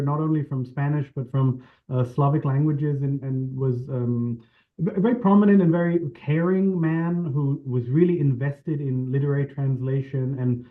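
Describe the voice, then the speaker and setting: A man on a panel talking as a translator over a remote link, thoughtful and circling, with many fillers. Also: fairly high pitch. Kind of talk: panel talk on a video call. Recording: tinny phone-like audio.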